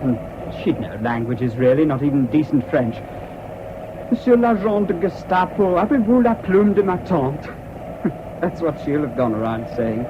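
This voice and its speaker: Brittle English military man voiced by a male actor, high swooping delivery, radio play on a dull, hissy old recording. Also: close to an everyday voice. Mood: bitter hysteria. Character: anguished, brittle English serviceman, glib then breaking down. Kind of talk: 1970s British radio drama acting.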